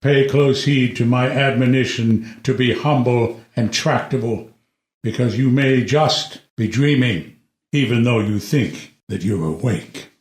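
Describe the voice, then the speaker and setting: A man's voice: mid-range voice, unhurried, natural voice. A man playing a stern old king and reproachful father in a dramatic play reading, weighty and admonishing. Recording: roomy, echoing indoor pickup.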